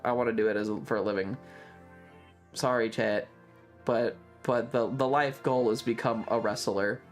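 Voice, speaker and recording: Livestreamer, mid-pitched voice, casual chat, opinionated, rambling, emphatic, sweary, music in the background.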